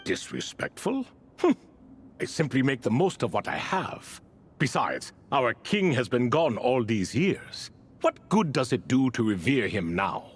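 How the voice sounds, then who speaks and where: An actor playing a proud, wistful and wryly smug man in a video game, speaking in an unhurried, theatrical way. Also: medium pitch, big pitch swings, a little hiss or hum. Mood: disdainful. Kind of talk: dramatic video game voice acting. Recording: music under the voice.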